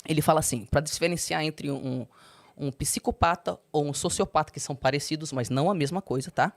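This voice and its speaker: Interview guest, young woman, low-set voice, expressive, leaps up when quoting. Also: fairly quick. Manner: expressive, swings into high animated quoting. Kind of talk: candid personal storytelling.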